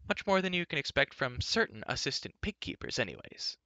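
A headstrong young princess from a storybook, as a man reads her. She chatters insistently and argues every point, pitched up high with a bright, tense edge. The recording sounds slightly dull. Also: very animated, fairly quick, no echo. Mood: chatty. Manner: breezy, insistent, argumentative chatter.

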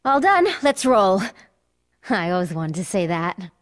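A woman's voice: fairly low pitch, sing-song, unhurried. A woman playing an eager, perky young computer whiz in a video game, chirpy and quippy. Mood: gleeful. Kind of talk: video game cutscene acting.

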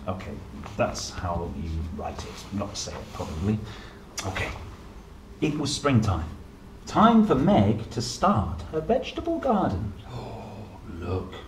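A man in his thirties reading a picture book to young children, playful and expressive, with chatty asides. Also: fairly low pitch. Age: 30s. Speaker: storyteller, host reading children's books. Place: indoor room, seated at a table. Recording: roomy echo, some background noise.

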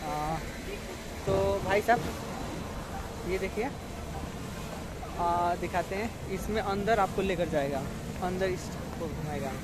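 A man's voice: high voice, slow pace, long pauses. A young man gives casual, repetitive walking commentary, chatting informally to viewers outdoors on a handheld camera. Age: young adult. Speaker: travel vlogger. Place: outdoors in a busy amusement park. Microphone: phone or camera at arm's length. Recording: noisy outdoor crowd and vehicle din. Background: crowd chatter, outdoor ambience.

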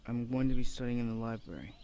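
A shy, bookish young university student, acted by a man in an audio roleplay. He is awkward and earnest, hesitating and stammering in a medium-pitched voice. Faint outdoor ambience with birdsong sits behind him. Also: fast talker, mellow, slight background noise, natural voice. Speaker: voice actor. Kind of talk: ASMR boyfriend audio roleplay.

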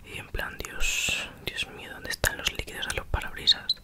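Whispering young woman telling a story. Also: moderate pace. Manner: chatty, self-mocking, acts out quoted exchanges.

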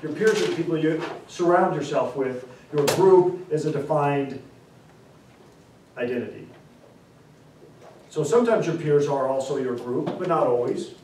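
A man in his forties teaching a class, explaining terms through repeated examples and stressing key words slowly. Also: fairly high pitch, darkish tone, a little hiss or hum. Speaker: instructor. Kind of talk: classroom lecture. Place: classroom, indoors. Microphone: far camera. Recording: echoey classroom from far off.

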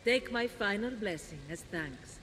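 An actress playing a vain, haughty, flirtatious love goddess in a game, theatrical and arch. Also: female voice, mid-range voice, animated, unhurried, over music, natural voice. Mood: gracious, haughty. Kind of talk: game character acting.

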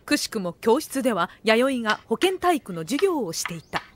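A woman's voice: on the high side, very animated, normal speed, a little hiss or hum. A woman narrating a comic audio drama from a script. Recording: music behind the voice.

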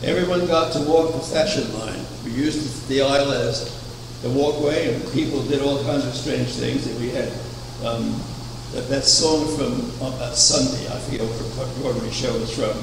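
An older man preaching a sermon from a pulpit, measured and anecdotal, asking rhetorical questions. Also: mid-range voice. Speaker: preacher, founder of a religious order. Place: chapel, at a wooden pulpit. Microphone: far camera. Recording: echoey chapel from far off, air conditioning hum.

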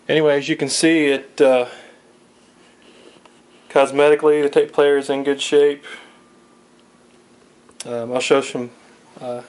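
A man giving a casual, unscripted product demonstration in a halting way, pausing often as he goes. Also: mid-range voice. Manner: hesitant, halting delivery with frequent pauses. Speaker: online seller demonstrating equipment.

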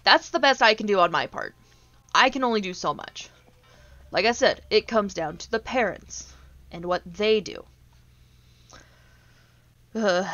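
A woman's voice: medium pitch, fairly bright tone, lo-fi sound. A woman in her twenties ranting casually on a gaming stream, blunt, exasperated and sweary as she tells stories. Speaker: streamer, VTuber host.

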